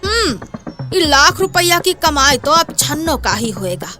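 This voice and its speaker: Lady doing a greedy scheming village wife, high voice, music behind. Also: big pitch swings, unhurried. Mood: sly, coaxing. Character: scheming, greedy rustic village wife, coaxing her husband. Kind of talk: Hindi moral story cartoon dubbing.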